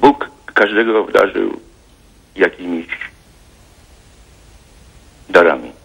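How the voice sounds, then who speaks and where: A man calls in to a TV talk show, speaking formally and hesitantly, with reflective pauses. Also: low-pitched, vocal fry, fairly bright tone, husky. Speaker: caller. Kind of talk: phone-in call to a TV talk show. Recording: dull phone line.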